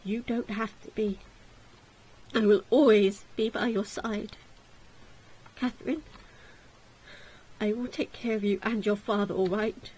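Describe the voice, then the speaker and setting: A woman voicing a gentle, protective gentleman from a gothic visual novel, speaking in a soothing, reassuring way. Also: medium pitch, steady tone, measured pace, long pauses, breathy edge, poor audio. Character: gentle, protective young gentleman consoling a loved one. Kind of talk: dramatic visual novel character reading.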